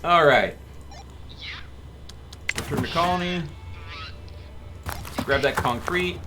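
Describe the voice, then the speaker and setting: A man's voice: a little high, slow, noisy background. A man doing live gameplay commentary as a streamer, chatting to his viewers and muttering to himself as he plays. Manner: talks to chat and mutters to himself. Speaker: game streamer.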